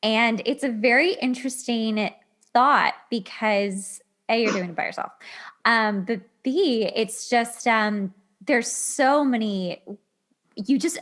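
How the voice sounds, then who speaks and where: A woman's voice: a little high, takes it slowly, a bit bright. A woman in her thirties hosting a casual interview, effusive and confiding, with a very informal way of talking. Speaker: podcast host.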